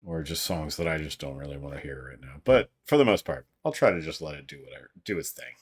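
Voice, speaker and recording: A young man casually chatting with livestream viewers in a deep, fairly monotone voice, rambling with filler words and frequent swearing, his voice a little strained. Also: fast talker, husky. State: voice strained from an old throat injury. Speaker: livestream host.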